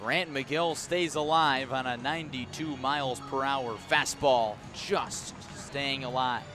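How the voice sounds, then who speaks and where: A man's voice: medium pitch, steady tone, takes it slowly, over music. An American man calling live baseball on the radio as play-by-play announcer, narrating dramatically in short, clipped phrases. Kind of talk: live radio sports commentary.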